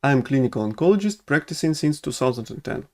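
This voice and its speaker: Non-native English-speaking male doctor, halting explainer with many "uh"s, mid-range voice. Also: mid-range voice, expressive, creaky. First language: not English. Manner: hesitant, many fillers and restarts. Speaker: oncologist. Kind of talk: educational medical explainer.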